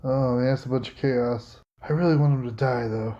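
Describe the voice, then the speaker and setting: A man chatting casually as a tabletop game player, haltingly thinking aloud, on a dull lo-fi recording. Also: medium pitch, steady tone, warm tone. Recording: dull, lo-fi voice track.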